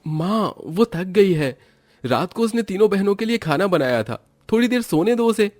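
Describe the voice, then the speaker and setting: A man's voice: high-pitched, big pitch swings, moderate pace, a little mellow, voice pushed up. A man voicing a protective young husband in a cartoon story, heated and reproachful. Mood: pleading, annoyed. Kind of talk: acted Hindi cartoon moral story.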